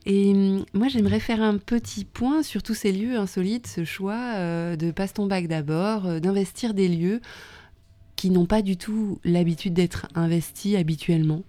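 A woman hosting a radio interview, asking questions in halting phrases with fillers. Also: medium pitch, mellow.

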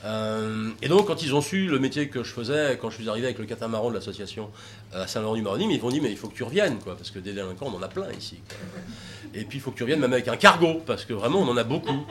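A man's voice: medium pitch, lively intonation, fast talker, a bit bright, a little hiss or hum. A man talking informally about taking troubled youths sailing, rambling with many hesitations and fillers. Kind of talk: informal talk with audience questions.

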